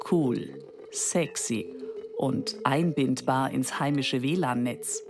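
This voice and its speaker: Wry female robot for a radio play, lowish gravelly voice, kinda aloof. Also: noisy background, exaggerated voice. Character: female talking machine, wry, aloof, musing on humanoids. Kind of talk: scripted radio drama monologue.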